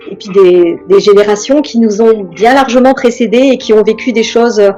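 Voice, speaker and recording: A middle-aged woman from France, speaking as an interview guest in a reflective, hesitant way with many pauses and restarts. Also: on the high side, steady tone, warm tone, lo-fi sound, soft background music.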